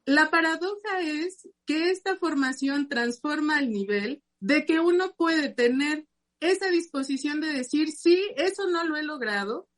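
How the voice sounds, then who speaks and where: A woman with a notably high voice speaks on a radio panel. She talks earnestly and with resolve, stopping now and then on small fillers as she gathers her thoughts. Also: lively intonation, a little slow. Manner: earnest, determined, with filler hesitations. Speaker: psychoanalyst and university teacher. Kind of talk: reflective radio discussion.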